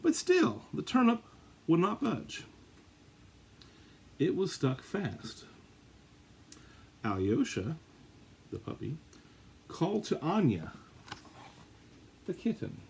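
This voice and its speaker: A man with a husky voice reading a children's storybook aloud, with dramatic, drawn-out emphasis. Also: on the high side, wildly swooping pitch, a little hiss or hum. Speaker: story reader. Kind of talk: animated read-aloud of a children's picture book.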